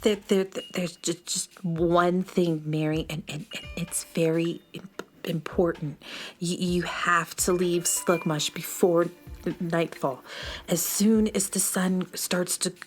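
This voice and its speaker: A woman's voice: lowish voice, fairly even intonation, slow, soft background music. A woman voicing a shy, anxious young alien girl with a heavy stammer in a children's audio drama. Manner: heavy stammer, hesitant, timid. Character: shy, anxious young girl sludge creature from gloomy planet.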